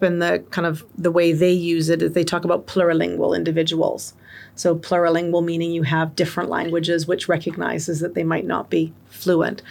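Gravelly-voiced woman explaining at length. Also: lowish voice. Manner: articulate, explains at length with examples. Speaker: guest expert on multilingual education. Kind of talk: interview answers.

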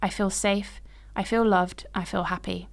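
A woman in her late twenties, from England, hosting a podcast and reading a personal story in a measured, reflective way. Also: mid-range voice, fairly even intonation, gravelly. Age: 20s. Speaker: podcast host.

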